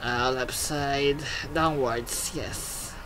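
An excitable gaming host giving casual commentary, exclaiming and swearing while reading game text aloud. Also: medium pitch, very slow, music in the background, poor audio.

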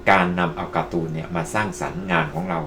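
A man's voice: sits low, steady tone, fast talker, background noise. A man presenting casually to online viewers as a tutorial host, addressing them politely as friends. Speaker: presenter of video-making tutorials. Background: music.

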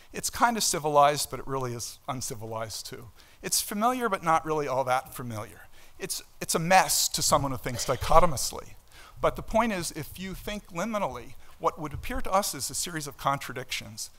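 A man's voice: a little high, fairly bright tone. Emphatic older man lecturing. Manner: emphatic, rhetorical questions and asides. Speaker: professor giving a keynote lecture. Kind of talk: conference lecture, explanatory and conversational. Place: indoor auditorium stage at a lectern.

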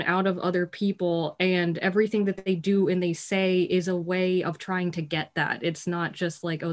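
A young woman on a video call, casually telling a story in an animated, halting way with lots of "like". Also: medium pitch. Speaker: actor in a community theatre production. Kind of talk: casual reflective anecdote. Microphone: video call computer mic.

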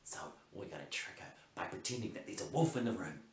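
A man's voice: low-pitched, expressive, fast, voice pushed down. A young man playing a mischievous, bored big brother in a children's story, exaggerated and conspiratorial. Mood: scheming, excited. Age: young adult. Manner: exaggerated, conspiratorial, talking to viewers. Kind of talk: playful children's storytelling performance. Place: plain indoor room. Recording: roomy sound, off the mic.

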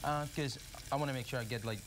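Quick-talking male chef over frying sizzle. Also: medium pitch. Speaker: chef, guest demonstrator. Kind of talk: casual cooking instruction. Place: TV studio kitchen set. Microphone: lapel. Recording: noisy studio kitchen with frying sizzle.

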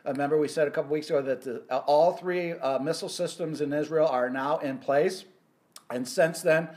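Middle-aged male ministry host; earnest, halting delivery with many fillers; prophecy teaching, close phone mic indoors. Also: medium pitch. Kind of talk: weekly Bible prophecy teaching. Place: indoor office or home room.

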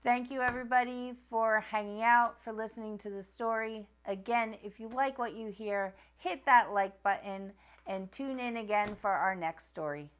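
A woman in her thirties hosting a children's storytime, reading aloud in an expressive, sing-song storytelling manner. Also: a little high, normal speed, few pauses. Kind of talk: children's picture book read-aloud. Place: small furnished room at a wooden table, indoors.

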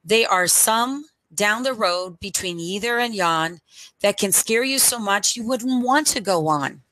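Storytime reader: middle-aged woman, bright forward tone, unhurried deliberate phrasing, headset mic. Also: medium pitch, dry recording, rough recording. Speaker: storyteller reading to children. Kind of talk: read-aloud picture book storytime. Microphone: headset microphone.

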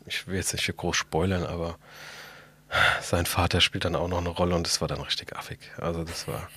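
A man's voice: deep voice, flat delivery, brisk, fairly bright tone, husky. A man speaking casually as a podcast co-host, in a colloquial and blunt manner. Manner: colloquial and blunt, with mild swearing. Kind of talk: casual chat.

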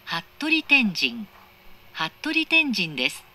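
Measured, bright woman announcing on a train. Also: mid-range voice, huge pitch swings. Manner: formal, measured, precise enunciation. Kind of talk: scripted automated station announcement. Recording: clear train noise, recorded inside carriage. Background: moving train interior noise.

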